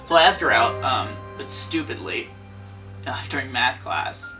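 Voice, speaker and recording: Man putting on a low, hushed lovesick teenage boy. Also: expressive, measured pace, recorded from a distance, faint music behind, exaggerated voice, rough recording. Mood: earnest, brooding. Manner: hesitant, melodramatically earnest. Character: lovesick, self-serious teenage boy confessing a breakup. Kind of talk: mock dramatic reading of online post.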